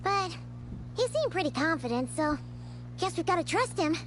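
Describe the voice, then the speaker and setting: A woman voicing a small, chatty, childlike fairy companion in a video game, with a squeaky, chirpy delivery. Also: sing-song, normal speed, a bit bright, slight background noise, full character voice. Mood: mildly exasperated.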